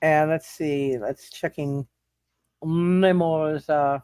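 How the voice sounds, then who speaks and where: Halting, higher-voiced man running a game. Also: steady tone, a little mellow, clear, resonant tone, no echo, studio quality. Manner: halting, stammering, repeats words. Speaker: game master. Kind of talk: improvised narration of a live tabletop superhero game.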